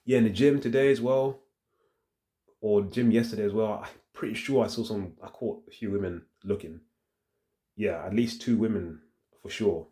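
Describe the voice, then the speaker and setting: A man with a deep voice talks casually to his phone in a rambling, halting vlog, thinking aloud as he goes. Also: mellow. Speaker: fitness vlogger. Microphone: handheld phone.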